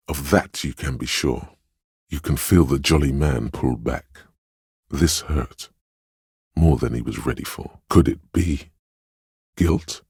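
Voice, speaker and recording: An actor voicing a game's inner voice of empathy, a grave, compassionate narrator with a husky, gravelly voice and a measured, solemn, intimate delivery. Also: male voice, extremely low pitch, dry recording, studio quality, heavily acted. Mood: somber, knowing. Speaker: game narrator. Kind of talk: scripted second-person game narration.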